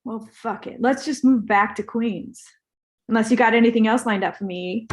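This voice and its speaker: Easygoing fading movie star, read by a woman, slightly high voice, earnest and naive, comedy script. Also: normal speed, lightly acted. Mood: defensive, hopeful.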